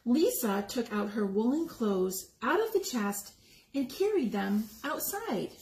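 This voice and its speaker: A woman reading a picture book aloud to young children, expressively, pausing to explain the pictures and ask questions. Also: a little high, sing-song, a little mellow. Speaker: story time reader. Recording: echoey room with background noise.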